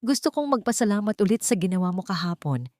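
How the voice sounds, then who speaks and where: A woman's voice: mid-range voice, lively intonation, fast talker, warm tone, dry recording, studio quality, close to an everyday voice. A woman voicing a wealthy, reserved lady with a Filipino accent, composed, serious and authoritative, in an audio story.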